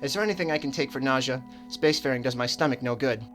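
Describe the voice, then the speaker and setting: A man's voice: medium pitch, fast, fairly bright tone, soft background music, natural voice. A man playing a small, queasy, flustered alien cartographer in a radio drama, anxious and polite.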